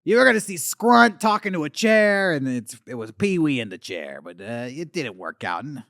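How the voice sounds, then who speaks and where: A man voicing a washed-up male alien puppet star from the eighties, rambling in a crude, boozy, confessional way with a gravelly voice. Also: a little high, big pitch swings, normal speed, a bit bright, no room sound, studio quality, full character voice. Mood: maudlin. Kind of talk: comedic character monologue.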